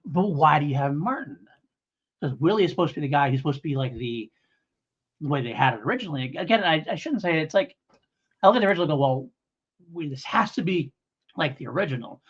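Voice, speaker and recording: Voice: medium pitch, expressive, quick pace, husky. A podcast co-host in a casual review discussion, talking in a hedging, rambling way and thinking aloud. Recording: dull lo-fi audio.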